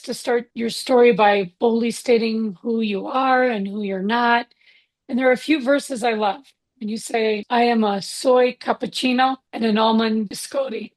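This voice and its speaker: A woman's voice: on the high side, rough recording. A woman hosting an interview, affirming and encouraging her guest as she leads the conversation. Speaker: interview host and author.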